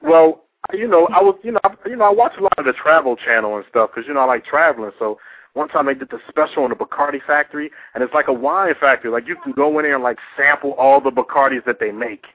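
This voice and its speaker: A man's voice: mid-range voice, fast talker, dry recording. A man hosting a casual call-in talk show, speaking in loose slang and repeating himself for emphasis. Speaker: talk radio host. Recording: narrow, tinny phone-line radio sound.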